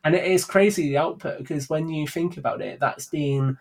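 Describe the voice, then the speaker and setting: A man's voice: fairly high pitch, moderate pace, vocal fry. A British man chatting casually as a podcast guest, upbeat and jokey. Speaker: podcast guest, audio drama writer and producer.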